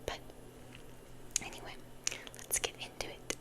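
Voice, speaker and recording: Whispering young woman, rambling ASMR host. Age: young adult. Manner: repeats words and phrases slowly for effect.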